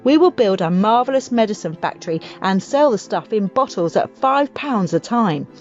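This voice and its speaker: A woman voicing a wildly excited, impatient father with grand schemes in a children's audiobook, emphatic and urgent. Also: mid-range voice, normal speed, close to an everyday voice. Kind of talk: audiobook character voice for children's story. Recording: muffled audio with music behind.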